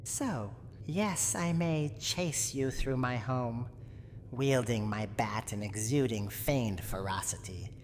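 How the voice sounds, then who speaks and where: A woman playing a cranky, lonely, menacing old granny from a horror game in a slow, theatrical, haughty roleplay monologue. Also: rumbling low, a little mellow. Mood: wistful, guarded. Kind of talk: dramatic scripted roleplay monologue, ASMR audio drama. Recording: long hall reverb, audible background noise.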